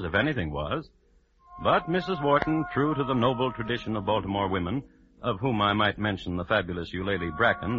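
An actor playing a wry, mock-formal storyteller narrating an old-time radio crime drama. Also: male voice, on the low side. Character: wry, wordy male storyteller of a historical crime tale. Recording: muffled vintage radio recording with music.

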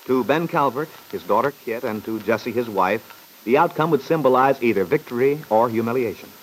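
A man's voice: mid-range voice, animated, fairly quick. A man narrating an old-time radio serial in a solemn, formal, dramatic style. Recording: noisy vintage radio recording.

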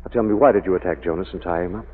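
A man playing a composed, protective, commanding gentleman detective in an old radio mystery. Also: low voice, very fast, husky. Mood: probing. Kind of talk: 1940s radio mystery acting. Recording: phone-like old radio recording.

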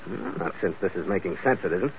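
An actor playing a tough, wry captain, narrating and speaking tersely in a hardboiled vintage radio drama. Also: male voice, low-pitched, expressive, fairly quick, a little hiss or hum. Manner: terse, sardonic. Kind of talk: 1940s-50s radio noir narration and acting. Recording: muffled vintage radio recording.

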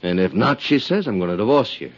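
An actor playing a bitter, wheedling ex-convict in a 1950s radio drama, speaking in an aggrieved, halting, insistent way. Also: male voice, lowish voice, expressive. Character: bitter, wheedling male ex-convict swindler, middle-aged. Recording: muffled 1950s radio recording.

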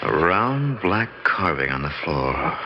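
An actor playing a level-headed, inquisitive explorer, narrating his discovery in a measured, dramatic old-time radio style. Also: deep voice, big pitch swings, dull audio, slight background noise, exaggerated voice. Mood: awed, grave. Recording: band-limited old radio recording.

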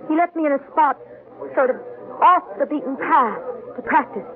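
A woman's voice: high-pitched, normal speed, a crowd in the background, close to an everyday voice. An American actress playing an anxious, suspicious young heiress in an old radio drama, earnest and pointed in her speech. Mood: bitter, pointed. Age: young adult. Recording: tinny, noisy old radio recording.

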